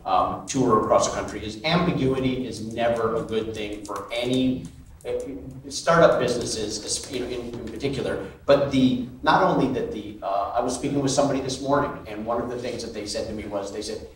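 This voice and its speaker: A man on a conference panel talks in a halting way, often restarting his sentences. His voice is picked up from a distance in a very echoey hall, with faint background noise. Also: medium pitch. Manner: halting, many restarts and fillers. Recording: echoey hall, mic from afar.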